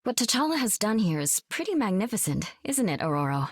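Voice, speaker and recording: An actress playing a calm, steady female superhero and wife in a video game, warm and reassuring. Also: mid-range voice, brisk, breathy edge, no echo, studio quality.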